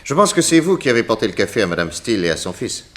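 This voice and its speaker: An actor playing a self-assured, jovial private detective in a French radio drama, inquisitive and wry in a conversational way. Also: male voice, on the low side, sing-song, quick pace. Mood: probing, wry.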